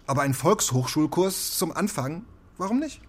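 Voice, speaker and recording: A peacemaking, playful husband in a children's radio play. His voice is rough and husky, with plenty of melodic movement. He talks informally and coaxingly. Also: on the high side, sing-song, moderate pace. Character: conciliatory, teasing husband and father. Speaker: voice actor in a children's radio drama. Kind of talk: naturalistic German radio play acting.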